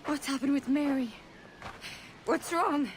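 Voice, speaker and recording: A woman's voice: high voice, unhurried, background noise. A woman voicing a distressed but tough-hearted pirate in a video game, in an emotional, strained manner. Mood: distraught. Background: loud music.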